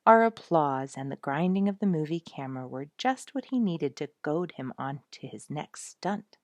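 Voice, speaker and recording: Female narrator, lower register, expressive children's storytelling, very dry recording. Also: moderate pace, no room sound. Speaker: audiobook narrator.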